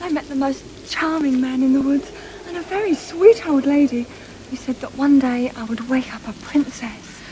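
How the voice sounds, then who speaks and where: An actress playing a sweet, eager young pantomime heroine, kind-hearted and earnest. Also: female voice, high voice, moderate pace, dark tone, slight background noise, natural voice, poor audio. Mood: excited, happy.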